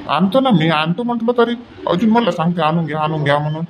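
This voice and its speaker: High-pitched village husband, played by a man. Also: lively intonation, quick pace, heavy background noise, close to an everyday voice. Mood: businesslike. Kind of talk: animated family comedy dialogue.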